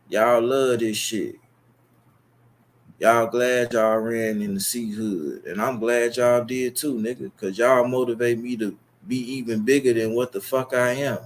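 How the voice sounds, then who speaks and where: A young man with a Chicago accent, talking straight to his viewers in a long, boastful, profane and rambling monologue. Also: medium pitch. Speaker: YouTube streamer.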